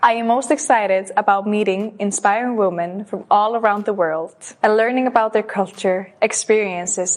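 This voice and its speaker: A woman reads a prepared self-introduction as a pageant contestant. Her voice sits at an ordinary middle pitch, and her delivery is earnest and measured, with a steady recited rhythm. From Iceland. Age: 20s.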